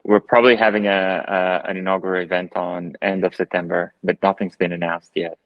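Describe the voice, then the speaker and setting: A deep, low-pitched man with a Brazilian Portuguese accent speaks over earbuds on a video call. He is in his thirties and answers thoughtfully, with plenty of fillers and restarts. The sound is dry and close, with no room echo. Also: level tone, fairly quick, highs cut off. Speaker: photographer and guest. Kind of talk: casual video call interview. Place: living room at home.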